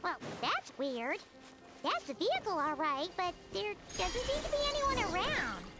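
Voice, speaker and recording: Tiny childlike game creature: very high, squeaky, animated, excitable. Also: female voice, unhurried, music in the background, exaggerated voice. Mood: puzzled. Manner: gushing, exclamatory.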